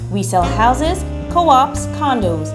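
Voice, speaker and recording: A woman's voice: a little high, very animated, takes it slowly, rough recording. A woman reading a scripted real estate ad in an upbeat, salesy way. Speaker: ad voice-over. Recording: studio ad over loud music.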